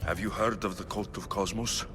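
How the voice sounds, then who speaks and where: A man's voice: fairly low pitch, fairly even intonation, normal speed, natural voice. A man playing a determined, defiant young Greek mercenary hero in a game cutscene, blunt and resolute. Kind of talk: video game cutscene acting. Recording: loud music behind the voice.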